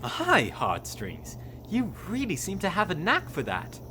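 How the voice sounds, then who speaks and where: Someone acting a patient pony music teacher, really animated intonation, encouraging, noisy recording. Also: medium pitch, measured pace. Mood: gentle, impressed. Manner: patient, instructive.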